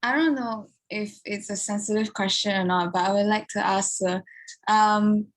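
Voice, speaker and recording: A young woman in the audience asking a question nervously, with halting repeats. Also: mid-range voice, steady tone.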